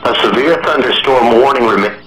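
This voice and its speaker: A synthetic male voice reading formal emergency weather alerts over the radio in a measured, impersonal way. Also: mid-range voice. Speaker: automated weather radio announcer. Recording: echoey, noisy weather radio broadcast with clicks.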